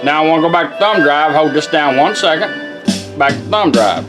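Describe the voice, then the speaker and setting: A man with a slightly high voice gives a casual hands-on tutorial. He is plainspoken and folksy, instructing one step at a time, with music clearly present in the recording. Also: animated, brisk. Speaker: product demonstrator and car radio rebuilder.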